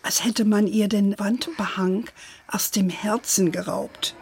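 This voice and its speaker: An actress playing a gentle, playful grandmother who tells family history in a measured storybook manner for an audio drama. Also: mid-range voice, fairly even intonation, slight background noise. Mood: measured, wistful. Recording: music under the voice.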